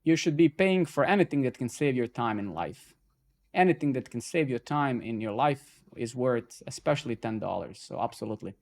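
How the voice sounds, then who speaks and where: A man's voice: mid-range voice, animated, moderate pace, gravelly, darkish tone. A man originally from Serbia hosting a casual live-coding stream, talking loosely, swearing when frustrated and tagging lines with "right?" and "man". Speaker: stream host.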